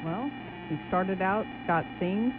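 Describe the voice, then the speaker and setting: A woman answers a radio host in short, hesitant bursts. Her voice comes through a tinny, phone-like band. Music runs clearly underneath. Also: medium pitch, slow pace. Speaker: event organizer guest. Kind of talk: radio interview guest.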